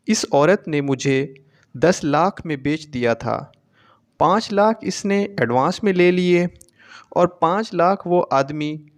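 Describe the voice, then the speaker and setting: A man reading a scripted, emotional first-person story as a narrator. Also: a little high.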